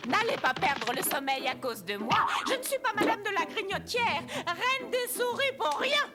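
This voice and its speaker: A woman's voice: extremely high pitch, very animated, normal speed, over loud music. A woman voicing a vengeful, theatrical mouse queen in a cartoon, haughty and mocking. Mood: mocking, gloating. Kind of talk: animated film voice acting.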